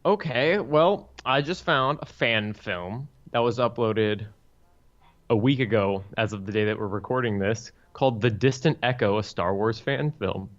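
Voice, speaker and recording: A man's voice: mid-range voice, a little slow, dull audio. A man co-hosting a podcast, giving his opinions in casual review chat. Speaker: podcast co-host reviewing fan films.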